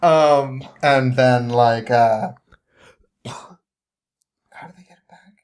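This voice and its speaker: A man with a mid-pitched voice recaps a story in a loose, conversational way. He rambles and hesitates, feeling for the next bit, and sounds amused by what he is telling. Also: clean tone. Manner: halting, rambling, amused, often chuckling. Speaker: podcast guest.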